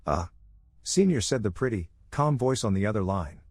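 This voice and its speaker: Male horror narrator, low voice, scripted first-person storytelling, faint music behind. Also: animated, a little fast, dry recording.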